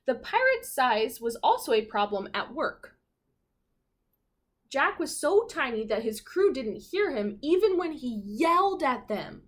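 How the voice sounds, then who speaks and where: A woman primary school teacher reading a picture book aloud to children, expressive and instructive, pausing to put questions to the class. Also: sits high.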